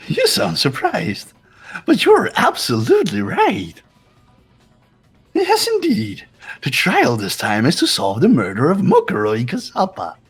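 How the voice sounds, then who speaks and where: A man's voice: sits high, wildly swooping pitch, slow, long pauses, fairly bright tone, husky, heavily acted. A man voicing a mocking, officious robotic bear for a fan-dubbed video game, in a theatrical, mock-polite manner. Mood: gleeful, mocking. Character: gleeful, mocking robotic bear overseeing a deadly trial. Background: game music.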